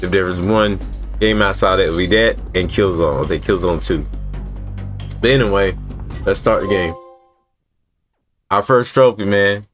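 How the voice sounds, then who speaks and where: A male gaming streamer talks casually over his gameplay. His voice is low, and faint music plays behind it. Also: unhurried, pauses often.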